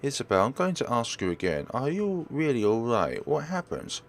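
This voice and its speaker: Man voicing concerned, firm young woman, animated intonation. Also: medium pitch, moderate pace, voice pushed up. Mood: worried. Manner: earnest, insistent, protective. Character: Rose, concerned, firm young female real estate agent.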